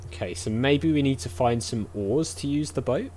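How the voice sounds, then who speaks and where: A man's voice: medium pitch, moderate pace, dark tone, soft background music. A man doing casual let's play commentary, thinking aloud as he goes. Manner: thinking aloud, reacting to the game. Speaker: gaming streamer.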